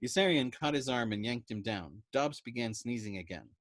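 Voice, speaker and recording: Lively male storyteller reading a novel. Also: mid-range voice, moderate pace, dry recording. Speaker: storytime reader. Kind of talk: expressive audiobook-style novel narration.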